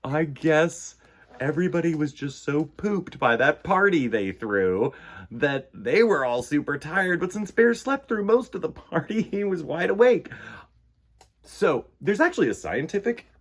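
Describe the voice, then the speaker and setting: A man hosting storytime for children, reading and talking to young listeners in a playful, theatrical way. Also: fairly high pitch. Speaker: children's storytime host and reader. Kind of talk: picture book reading and chat to children.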